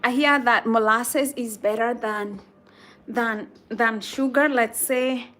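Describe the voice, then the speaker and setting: A woman with a soft voice, chatting casually in a rambling way that circles back over phrases. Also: on the high side, fairly even intonation, slow. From Kenya. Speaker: vlogger chatting with viewers. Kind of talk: casual chat.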